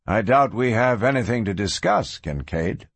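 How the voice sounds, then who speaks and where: A man voicing a stubborn, quietly menacing gunslinger in a Western storybook, with a measured manner. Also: fairly low pitch, husky, no echo. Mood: calm, resolute. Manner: flat, measured, soft-spoken warnings. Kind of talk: dramatised audiobook reading.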